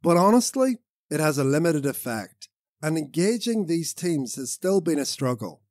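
Male author-narrator for nonfiction audiobook. Animated, swinging pitch, recorded close and dry. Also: moderate pace, dry recording. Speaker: author reading own audiobook.

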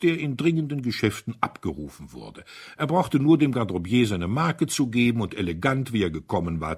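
A man with a husky voice narrating a detective story in an expressive, theatrical way. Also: lowish voice. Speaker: audiobook narrator.

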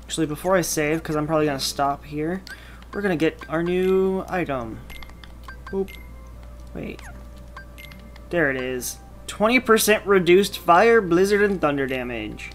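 A woman's voice: sits low, expressive, unhurried, long pauses. A woman doing casual video game commentary, sometimes reading game dialogue aloud. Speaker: gamer, let's player. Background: game music.

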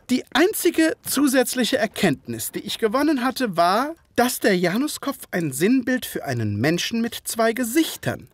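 An actor playing a loyal, puzzled gentleman narrator in a detective audio drama, with measured, formal, literary phrasing. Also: high voice, no echo.

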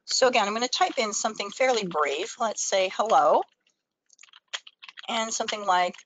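A woman's voice: medium pitch, moderate pace, a bit bright, a little hiss or hum, poor audio. A woman presenting a training webinar, explaining things step by step in an instructional screen-share style.

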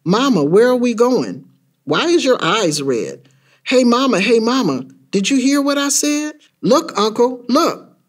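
A man playing an excitable, bewildered young boy in a rhyming dramatic story, speaking in a childlike, insistent way. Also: very high-pitched, a little slow, voice pushed up, exaggerated voice. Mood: eager, questioning. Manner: childlike, insistent, repeated questions. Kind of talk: dramatic spoken-word storytelling in rhyme.

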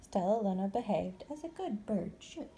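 A woman reading a children's story aloud expressively, with deliberate, dramatic phrasing. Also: medium pitch, expressive, mellow, a little hiss or hum. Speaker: storybook reader.

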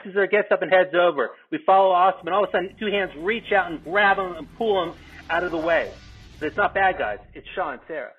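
A man's voice: sits high, quick pace. A man reading out stage directions as narrator at a remote script table read. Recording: dull call audio, music behind.

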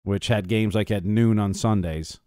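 A man's voice: fairly low pitch, steady tone, normal speed, darkish tone, smooth, no room sound, studio quality. A man co-hosting a sports podcast, casually chatting and handling listener questions.

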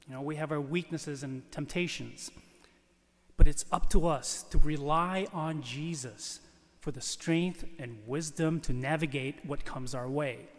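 A man preaches a prepared homily as a priest, slowly and with deliberate pauses. His voice sits a little high for a man and rings with the long reverb of a large church. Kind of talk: scripted homily read from the pulpit. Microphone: lectern microphone.

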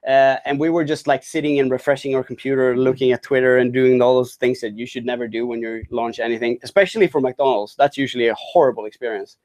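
A man presenting at a tech meetup, talking through how his team built something. He speaks in halting, unpolished sentences, full of restarts and fillers, at an ordinary middle pitch. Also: steady tone, darkish tone. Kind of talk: conference talk.

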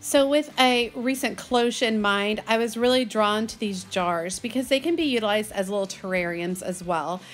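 A woman's voice: mid-range voice, moderate pace, few pauses, faint music behind. A woman in her thirties with a Texan accent, narrating a casual vlog in a chatty, enthusiastic, folksy way. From Texas, United States. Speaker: host of a gardening video channel.

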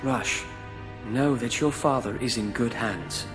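An actor playing a composed, courteous young lord in a video game, speaking in a formal, stately and authoritative way. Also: male voice, mid-range voice, slow pace, music in the background, natural voice. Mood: calm, commanding. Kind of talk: video game cutscene acting.

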